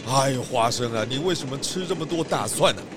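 A man's voice: mid-range voice, expressive, fairly quick, noisy background, close to an everyday voice. A man playing an exasperated but sensible pig detective in a children's audio drama, scolding and exclaiming. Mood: disgusted.

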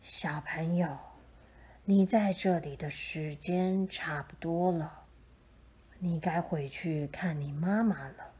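A woman voicing a kindly old male saint in a gentle, hushed storybook manner. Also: deep voice, slow, slightly rough, pitched below the performer's own voice, full character voice. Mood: tender, hushed.

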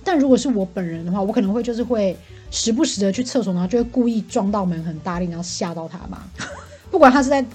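A young woman with a Taiwanese accent chatting casually and comically as a podcast co-host, telling stories in an animated way and acting out quotes. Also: mid-range voice, quick pace, breathy edge. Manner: animated storytelling with acted-out quotes. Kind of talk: casual comic chat, venting about coworkers. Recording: faint music behind. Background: faint background music.

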